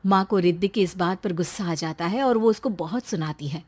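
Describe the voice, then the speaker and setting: A woman's voice: lowish voice, a little fast. A woman narrator reading a scripted story.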